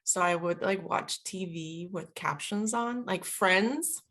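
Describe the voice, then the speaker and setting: A woman's voice: fairly low pitch, slow pace, a bit bright. A woman of about forty from Puerto Rico, a native Spanish speaker, talking reflectively and casually in an interview with lots of fillers. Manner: reflective, chatty, many fillers. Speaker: guest, veteran and linguist.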